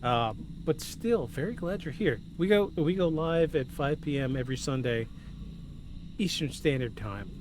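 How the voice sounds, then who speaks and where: Male podcast host, fairly high voice, effusive, mixes scripted narration and casual asides. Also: lively intonation, normal speed, background noise. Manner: effusive, repeats himself for emphasis. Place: at home. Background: music.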